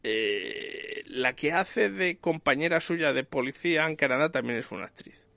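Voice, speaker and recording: Guy chatting through a series review, rambly, bit of gravel in the voice, dry close sound. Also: a little high, lively intonation, normal speed, sharp tone, no room sound. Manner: rambling, searching for details. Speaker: series reviewer and podcast host. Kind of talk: casual conversational review.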